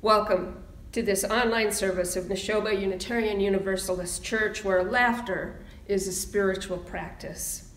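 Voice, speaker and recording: A woman with a middle-pitched voice speaks as a church minister, giving a welcome and readings. The recording has a clearly roomy echo around her words. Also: steady tone, moderate pace. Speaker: minister welcoming an online congregation.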